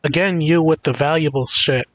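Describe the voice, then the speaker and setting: A man's voice: fairly high pitch, moderate pace, fairly bright tone, no echo, low-quality recording. A man livestreaming a video game, chatting casually and commenting on it. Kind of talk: casual gaming stream chat. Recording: faint game music behind.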